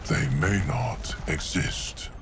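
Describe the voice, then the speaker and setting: A man playing a loyal, solemn immortal guardian in a video game, speaking in a measured, formal, stoic way. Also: very deep voice, steady tone, background noise. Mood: grave, measured. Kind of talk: video game cinematic acting.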